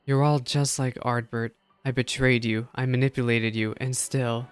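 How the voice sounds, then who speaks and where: A man's voice: medium pitch, little pitch movement, normal speed, smooth, dry recording, faint music behind, lightly acted. A man voicing a grieving, guilt-ridden game storykeeper in an earnest, solemn way. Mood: anguished.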